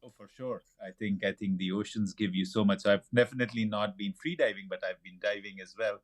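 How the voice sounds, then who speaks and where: Effusive low-voiced male podcast host. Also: steady tone, a little fast. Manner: effusive, warm praise and eager questions. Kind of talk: conversational interview.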